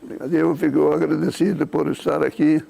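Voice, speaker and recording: High-pitched, gravelly man. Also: level tone, brisk, mellow. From Italy. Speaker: interview guest. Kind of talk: conversational explanation of culture and history.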